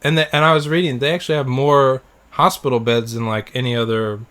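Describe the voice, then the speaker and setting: A man with a mid-pitched voice chats as a podcast co-host. He tells anecdotes in an informal, conversational way, quoting people as he goes. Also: fairly even intonation, quick pace, a bit bright. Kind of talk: casual chat, anecdotes.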